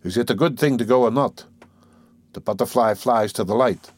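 A man's voice: mid-range voice, normal speed, darkish tone, breathy edge, dry recording, close to an everyday voice. A man playing an irritable, scheming young man, sardonic and brooding, in an audiobook of a classic novel. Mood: anxious, brooding. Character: irritable, scheming young former law student, mocking and anxious.

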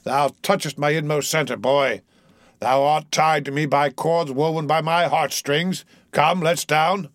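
A man's voice: a little high, fairly even intonation, measured pace, a bit bright, dry recording, studio quality, voice pushed up. A man voicing a mad, brooding old sea captain in an audiobook, declaiming grandly in archaic speech. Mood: grave passion.

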